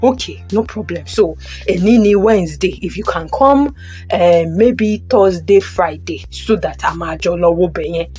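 A woman with a Nigerian accent playing a brisk, pushy male housing agent in an audio drama. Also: on the low side, a little mellow, husky, soft background music. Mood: persuasive, pressing. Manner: hesitant starts, persuasive, businesslike. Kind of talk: audio drama acting, Yoruba-English phone conversation.

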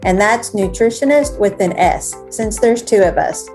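A woman's voice: mid-range voice, soft background music. A woman co-hosting a podcast as a dietitian, talking casually and a little stuffy. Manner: relaxed, chatty, drawn-out fillers. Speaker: podcast co-host, registered dietitian. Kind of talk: casual chat.

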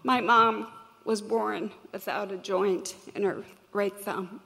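A woman with a crackly, gravelly voice shares a personal story from a stage. She speaks earnestly and reflectively, at a measured pace. Her words trail off into the long reverb of a large hall. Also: mid-range voice, steady tone, sharp tone. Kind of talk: personal story told to an audience.

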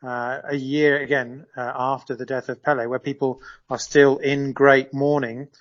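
A man's voice: medium pitch, level tone, moderate pace, dull audio. A man serving as a correspondent in a live radio interview, explaining at length with frequent fillers and restarts.

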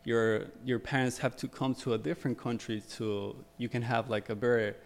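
A man's voice: medium pitch, steady tone, moderate pace, vocal fry. A young man from Ecuador, a guest on a podcast, talking casually about his photography career with many fillers and repeated words.

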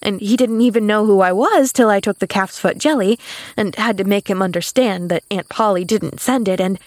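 A woman's voice: medium pitch, moderate pace, no echo, close to an everyday voice. A woman voicing a cheerful, earnest, loyal young girl in an audiobook, chattering guilelessly. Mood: eager, thoughtful. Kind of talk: audiobook character voice.

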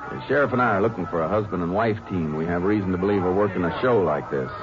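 A man playing a steady, methodical Texas Ranger lawman, plainspoken and brisk in a 1950s western radio drama. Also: low voice. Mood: businesslike. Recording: muffled old-time radio recording with music.

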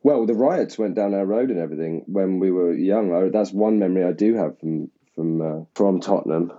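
A man from Essex, an actor, talking at length and laughing at himself in a relaxed interview. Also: sits low, a little fast. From England (Essex). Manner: rambling, self-deprecating, laughs often. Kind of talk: casual interview conversation. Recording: dull lo-fi sound.

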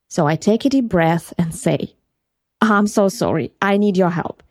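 A woman's voice: fairly low pitch, unhurried, dry recording, clean studio sound. Ukrainian woman telling a personal story. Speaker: podcast host. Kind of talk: first-person storytelling podcast.

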